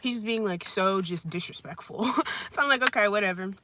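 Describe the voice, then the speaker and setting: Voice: medium pitch, brisk, background noise. A vlogger in their twenties telling a casual storytime rant at a friend's house, rambling with constant "like" fillers and blunt, profane wording. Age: 20s. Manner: rambling, heavy use of "like" fillers.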